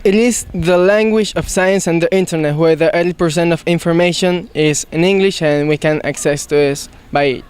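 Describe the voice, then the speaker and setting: Smooth, clear male voice reading a script. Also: fairly high pitch, normal speed, hardly any pauses, darkish tone. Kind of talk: scripted presentation.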